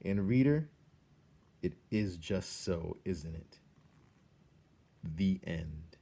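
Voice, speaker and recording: A man reading a children's novel aloud as an expressive storyteller, speaking earnestly and directly to the listener with dramatic pauses. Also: low-pitched, creaky, husky.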